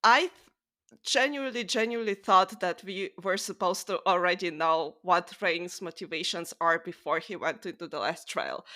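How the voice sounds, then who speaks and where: A woman's voice: mid-range voice, bright, forceful tone. A woman hosting a podcast, talking casually and with strong opinions in an emphatic, exasperated manner peppered with swearing. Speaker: podcast host. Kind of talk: casual opinionated book discussion chat.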